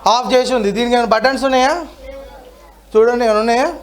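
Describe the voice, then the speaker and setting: A man's voice: very high voice, fairly even intonation, fast talker, some chatter behind. A man teaches a children's Bible lesson interactively, asking questions and prompting repetition. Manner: asks questions and has children repeat.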